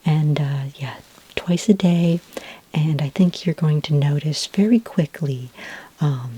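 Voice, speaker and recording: Breathy, half-whispering low-pitched woman. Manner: hesitant, gently explanatory, reassuring. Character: calm, reassuring female sci-fi skin care consultant. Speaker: ASMR roleplay performer as a skin care consultant. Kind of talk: soft-spoken ASMR roleplay.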